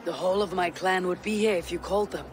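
An actor playing a steadfast, loyal and wry male Viking warrior in a video game, speaking in a firm, measured way. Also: high-pitched, fairly even intonation, background noise, close to an everyday voice. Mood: warm. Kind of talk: video game cutscene acting.

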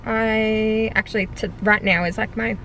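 A woman's voice: on the high side, steady tone, a little slow, a bit bright, a little hiss or hum, rough recording. A young Australian woman in her twenties, a personal trainer chatting casually to a camera on the dashboard of her parked car, rambling and amused at herself. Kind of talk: casual vlog chat to camera.

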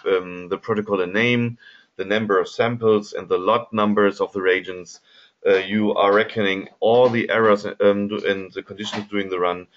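Man, German accent, very deep voice, hesitant webinar talk, many ums, slightly muffled lo-fi audio. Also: a bit bright. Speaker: product specialist presenting a webinar. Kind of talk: webinar presentation over slides.

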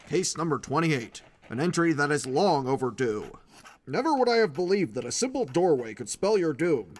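Man acting as eccentric middle-aged male paranormal researcher, obsessive, erudite, theatrical journal narration, highly animated intonation, slightly raised pitch. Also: full character voice. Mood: ominous. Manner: theatrical, erudite, emphatic delivery. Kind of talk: dramatic first-person audiobook narration.

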